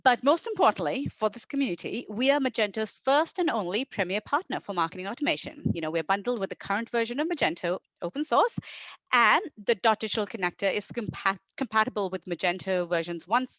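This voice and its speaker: A woman's voice: medium pitch, animated, quick pace, a bit bright, no room sound. Female presenter from India, middle-aged, informal and keen conference delivery, close dry sound, slightly lo-fi. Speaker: marketing executive, guest presenter. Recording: dull, lo-fi online presentation audio.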